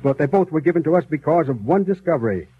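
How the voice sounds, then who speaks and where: An actor playing a knowledgeable, enthusiastic guide who explains with assurance in scripted 1930s educational radio style. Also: male voice, on the high side, expressive, fast talker, lightly acted. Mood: informative. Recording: tinny, noisy 1930s radio recording.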